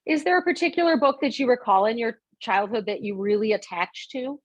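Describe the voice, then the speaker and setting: A middle-aged American woman with a fairly high voice hosts a casual interview. She chats informally as she puts questions to her guest. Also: animated, fairly quick. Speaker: interview host.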